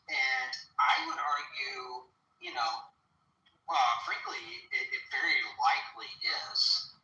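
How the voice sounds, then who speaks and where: A young man talking while driving, halting and hedging with many fillers in a political discussion. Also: medium pitch, huge pitch swings, pushed and bright, dull audio. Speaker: panel guest. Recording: echoey, distant from the mic.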